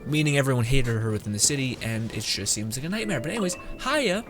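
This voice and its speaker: A man's voice: medium pitch, animated, fast talker, music in the background. A man streaming a video game with informal, wry commentary and rambling asides. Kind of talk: casual live game commentary.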